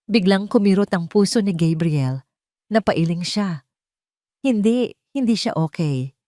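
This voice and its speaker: A woman's voice: mid-range voice, expressive, unhurried, warm tone, no room sound, studio quality. A woman with a Filipino accent narrating a scripted romantic drama in a solemn, melodramatic way. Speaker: storyteller. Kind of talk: scripted dramatic audio love story narration.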